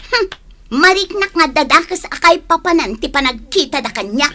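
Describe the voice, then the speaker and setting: Jealous office worker, Ilocano accent, sharp high voice, confrontational, radio drama. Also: female voice, animated, a little hiss or hum. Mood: offended. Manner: sarcastic, quick to take offence. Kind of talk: Filipino radio drama acting.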